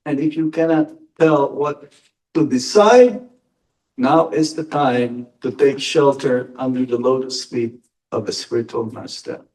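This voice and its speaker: A man speaks as a monk in a question-and-answer lecture, slow and deliberate, stressing his key words. His voice sits at a middle pitch and is caught by a distant mic in a hall. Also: darkish tone. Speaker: monk giving a spiritual talk.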